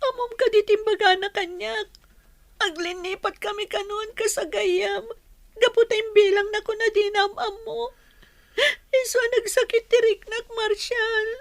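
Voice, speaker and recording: A woman's voice: very high voice, steady tone, a little slow, gravelly, dry recording, heavily acted. A woman with an Ilocano Filipino accent playing an earnest, easily hurt young girl in a radio drama. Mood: hurt, tearful.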